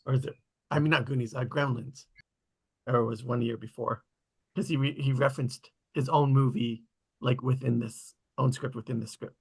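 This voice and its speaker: A man's voice: mid-range voice, fairly even intonation, normal speed, gravelly, husky. A man in casual group chat, talking in a rambling way as he searches for details. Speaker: participant in a script table read.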